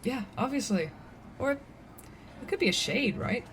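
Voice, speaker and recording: A woman playing an excitable, playful young coworker chatting casually and breezily in an audio drama. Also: a little high, unhurried, crowd noise. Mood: excited.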